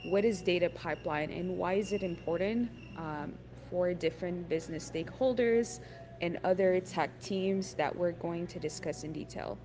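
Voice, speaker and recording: A woman's voice: fairly low pitch, darkish tone. A woman from Ethiopia presenting an online workshop, friendly and encouraging, with many ums and uhs. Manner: frequent fillers, friendly and encouraging.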